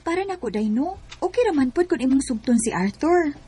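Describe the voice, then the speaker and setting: A young Bisaya Filipino woman talks like a close friend. She is colloquial, practical and gently persuasive, in radio drama style. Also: sits high, expressive, a little fast. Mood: encouraging.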